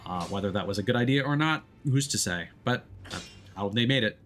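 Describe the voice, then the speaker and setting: A male streamer with a fairly low voice gives casual gameplay commentary. He explains and thinks aloud as he plays. Faint music sits behind him. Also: animated, fairly quick, fairly bright tone. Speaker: game streamer.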